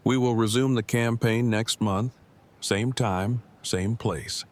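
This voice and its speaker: A man's voice: medium pitch, fairly even intonation, takes it slowly, darkish tone, lightly acted. A man playing a polite, pedantic man with formal, wordy speech in an audio drama. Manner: formal, verbose, precise wording. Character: polite, pedantic, formal-spoken man, easily embarrassed game master. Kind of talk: scripted audio drama acting.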